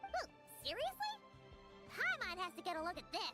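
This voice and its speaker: A woman voicing a tiny, childlike, excitable fairy companion in a video game, chirpy and blunt. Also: very high voice, moderate pace, loud music behind the voice. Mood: excited, curious. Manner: chirpy, blunt, exclaiming, speaks of self in third person. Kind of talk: English video game voice acting.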